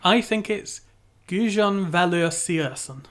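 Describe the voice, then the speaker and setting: A man co-hosting a podcast with a chatty, easy manner and frequent laughter. His voice sits a little high for a man. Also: expressive, a little slow, a bit bright. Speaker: podcast co-host. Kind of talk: casual chat.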